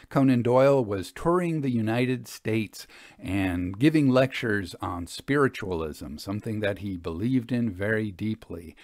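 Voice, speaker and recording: A man narrating from a script as a storyteller, with measured, articulate delivery. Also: fairly low pitch, a little mellow, dry recording, studio quality. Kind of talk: scripted audiobook narration and commentary.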